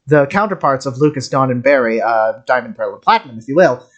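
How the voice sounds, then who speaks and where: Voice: medium pitch, lively intonation, fast. A streamer giving casual, rambling commentary on game voice lines in a wry, geeky way.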